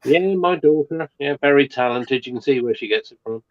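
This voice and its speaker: A middle-aged man on a live chat, talking in a halting way with many pauses and fillers. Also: medium pitch, dry recording. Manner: hesitant, fills pauses with "uh". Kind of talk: casual chat on a live stream. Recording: dull, lo-fi remote mic.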